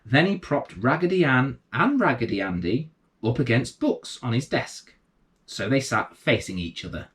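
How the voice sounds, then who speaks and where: A man reads a children's bedtime story aloud as its host. His voice sits at an average male pitch and moves up and down in a lively way. The delivery is expressive and aimed at little listeners. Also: normal speed. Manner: expressive, addressing young children.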